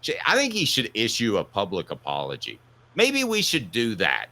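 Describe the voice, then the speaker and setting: A man's voice: fairly low pitch, huge pitch swings, moderate pace, bright, forceful tone. A man in his fifties hosting an opinionated sports talk show, telling stories casually in a jokey, mock-indignant way. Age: 50s.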